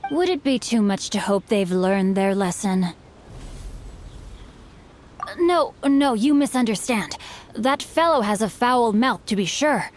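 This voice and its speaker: A woman's voice: fairly high pitch, animated, takes it slowly, pauses often. An actress playing an earnest, courteous teenage boy swordsman with formal, florid, theatrical speech in an anime game. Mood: reflective.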